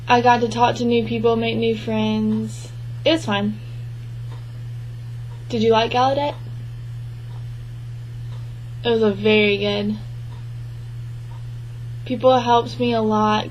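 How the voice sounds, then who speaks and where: A woman gives a spoken interpretation of a signed interview. Her voice sits a little high and stays flat and level. She talks in an even, measured way and often breaks off and restarts. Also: a little hiss or hum, poor audio. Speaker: sign language interpreter voicing a deaf man's answers.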